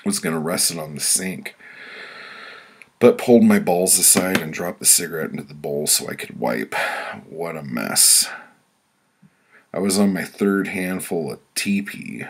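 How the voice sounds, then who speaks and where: Male author-narrator, bottom-of-the-range rasp, slow delivery, crude comic first-person storytelling. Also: low voice. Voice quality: gravelly, rough. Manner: crude, profane, blunt. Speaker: author reading their own audiobook.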